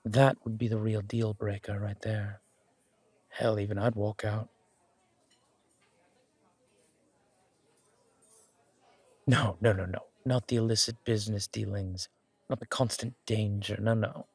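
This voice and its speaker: Mafia boss on a date, male actor: husky, dry close sound, intimidating reputation, playful and affectionate delivery, casual teasing, romantic audio drama. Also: lowish voice, steady tone, normal speed, a little mellow, dry recording. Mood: playful, indulgent. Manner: teasing, casual, mock-indignant, swearing.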